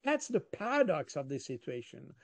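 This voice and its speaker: Guy being interviewed, fairly high voice, halting, repeats little words. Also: big pitch swings, creaky. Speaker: interview guest. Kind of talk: spontaneous interview talk.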